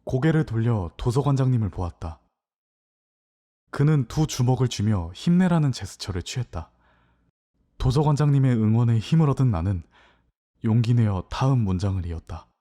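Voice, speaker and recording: A man acting in an audio drama as a hesitant, introspective newcomer, narrating in a soft, reflective way. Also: mid-range voice, expressive, moderate pace, pauses often, natural voice.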